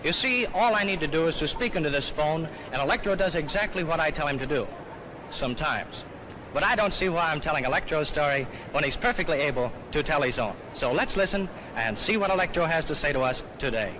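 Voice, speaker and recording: A man's voice: a little high, lively intonation, fairly quick. A man giving showman's patter as a 1930s stage demonstrator, recorded on a noisy old film soundtrack.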